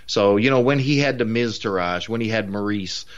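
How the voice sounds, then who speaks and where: A man's voice: on the low side, moderate pace, smooth. A man from Newfoundland with a Newfoundland accent, chatting casually on a podcast in an opinionated, rambling way. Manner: opinionated, rambling, frequent fillers. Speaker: podcast co-host. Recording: muffled lo-fi audio.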